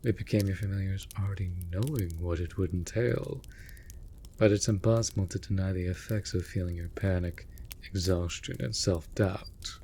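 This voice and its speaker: An actor playing a mage's raven familiar in a fantasy audio drama, theatrical and formal, scolding but caring. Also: male voice, low-pitched, moderate pace, dark tone, slight background noise. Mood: chiding, caring. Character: male raven familiar of a storm mage, exasperated but devoted. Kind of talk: scripted fantasy audio roleplay.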